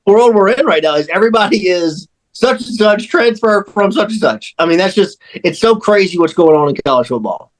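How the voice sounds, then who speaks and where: A man's voice: high-pitched, animated, brisk, poor audio. A man chatting casually and unscripted as a panelist on a sports radio show.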